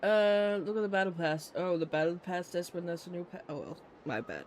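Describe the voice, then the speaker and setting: A man's voice: high voice, animated, brisk, a little hiss or hum. A man chatting casually with viewers as he streams a game, rambling and muttering distracted asides. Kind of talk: casual live gameplay chat with viewers. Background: faint game music.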